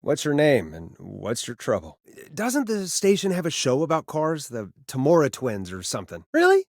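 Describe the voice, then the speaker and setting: An actor playing a wry, jaded male radio phone-in host in a video game, sardonic and expressive, moving between showy patter and casual talk. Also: a little high, normal speed, dry recording, clean studio sound. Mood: puzzled, businesslike. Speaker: late-night radio host.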